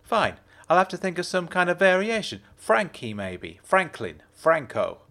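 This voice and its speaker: A man's voice: high-pitched, big pitch swings, bright, forceful tone, voice pushed up, full character voice. A man putting on a bossy, teasing young woman. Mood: teasing, pushy. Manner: pushy, teasing, rapid-fire questions.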